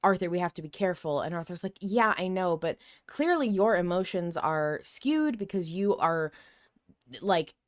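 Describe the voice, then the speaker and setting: Low-voiced woman podcast host, dry close sound. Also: expressive, normal speed, no echo. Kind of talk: casual discussion of a fiction podcast.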